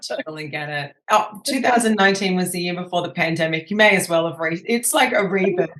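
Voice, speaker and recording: Slightly raspy Australian woman host. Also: fairly low pitch, expressive, moderate pace, a bit bright, reverberant. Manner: chatty, upbeat, restarts often. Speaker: podcast host. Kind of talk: casual interview chat.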